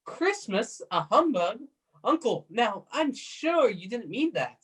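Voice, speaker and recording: An actor playing a good-natured, cheerful young nephew in a radio play, coaxing in manner, with a slightly husky voice. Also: male voice, very high-pitched, sing-song, takes it slowly, full character voice. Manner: bright-hearted, coaxing.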